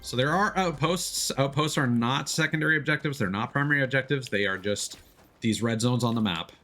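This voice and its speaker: A man's voice: mid-range voice, fairly quick, pushed and bright, faint music behind. A man streaming a video game, giving casual live commentary and explaining tactics step by step as he plays. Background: video game sound.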